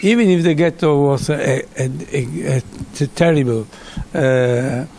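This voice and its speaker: Halting man, mellow voice. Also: on the high side, slight background noise. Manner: halting, hesitant English with many fillers. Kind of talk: interview answers.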